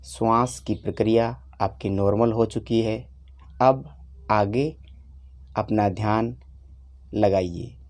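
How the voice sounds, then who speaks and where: A man leading a guided meditation, giving unhurried instructions in short phrases with long pauses. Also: fairly low pitch, dark tone. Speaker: meditation teacher.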